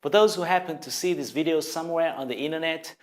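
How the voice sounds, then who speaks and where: A man's voice: a little high, fast talker. A man with a Vietnamese accent, a native Vietnamese speaker, giving an earnest, encouraging English lesson straight to the viewer. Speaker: language teacher. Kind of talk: scripted video lesson.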